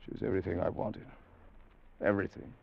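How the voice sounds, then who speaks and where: An actor playing a grieving young sailor, speaking in halting, broken lines in 1940s radio drama style. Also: male voice, low-pitched, steady tone, vocal fry, full character voice. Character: grieving young male sailor Charlie, heartbroken. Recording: dull old radio recording.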